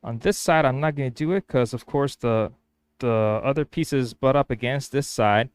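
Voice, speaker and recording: A man's voice: medium pitch, normal speed, clear, resonant tone. A man whose first language is Spanish, casually thinking aloud as he works on a woodworking build. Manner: thinking aloud, hesitant restarts. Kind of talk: casual workshop vlog narration.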